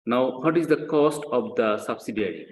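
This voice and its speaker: A man's voice: mid-range voice, expressive, normal speed, slight background noise. A man lecturing in a didactic way, repeating key points and often checking with short questions. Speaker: accounting lecturer. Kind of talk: classroom lecture, explaining worked example.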